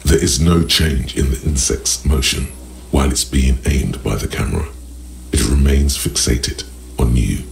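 A man voicing the brooding inner narration of a detective game, gravelly, deliberate and poetic. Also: a bass voice, darkish tone, full character voice. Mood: hushed, awed. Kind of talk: scripted second-person game narration. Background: music.

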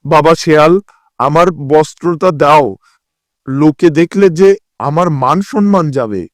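A man's voice: fairly high pitch, takes it slowly, no room sound, clean studio sound. A man playing a greedy, vain village landlord in a cartoon, bossy with servants and wheedling when cornered. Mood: pleading. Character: greedy, scheming village landlord, vain about his honour. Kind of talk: cartoon voice acting.

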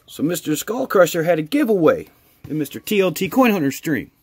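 An American man, a hobby vlogger with a husky voice, chatting casually and appreciatively to viewers as he shows things on camera. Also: fairly high pitch, very animated, brisk, a little mellow. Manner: enthusiastic, appreciative. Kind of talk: casual chat to camera while opening mail.

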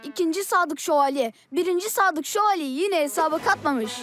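A woman voicing an excitable, cheeky little cartoon kid with a dramatic, eager delivery. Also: extremely high pitch, a little fast, music in the background. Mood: dramatic storytelling. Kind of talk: children's cartoon dubbing.

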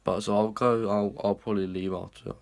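Sweary young guy, low voice. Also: level tone, fairly quick, creaky. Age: young adult. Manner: rambling, sweary, offhand. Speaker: vlogger. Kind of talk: casual vlog chat. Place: small indoor rooms and a hallway. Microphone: phone held at arm's length.

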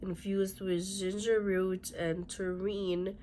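A woman in her thirties, hoarse and raspy from a cold, chatting through a beauty unboxing and reading product labels aloud in a halting way, in a small room. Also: medium pitch, little pitch movement, warm tone, slight background noise. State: hoarse, congested from illness. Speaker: beauty vlogger. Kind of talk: casual unboxing chat. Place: small bedroom with fluffy chair, indoors.

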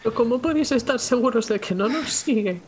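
A woman's voice: on the high side, expressive, fairly quick, a little hiss or hum. A woman improvising in character as a tabletop role-player and narrating what her character does.

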